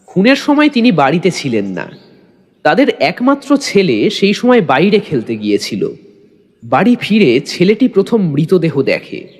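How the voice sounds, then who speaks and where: Guy acting as detective's sidekick narrating a mystery, high animated voice, reverby, suspenseful. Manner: storytelling, suspenseful. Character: detective's loyal male companion narrating the mystery. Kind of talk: dramatic scripted narration. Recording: long reverb, music bed.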